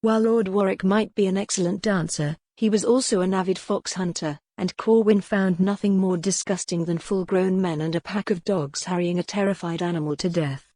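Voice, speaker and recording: A woman's voice: mid-range voice, fairly even intonation, moderate pace, hardly any pauses, dark tone, no echo, studio quality. A woman reading scripted, dramatic narration for a historical romance audiobook.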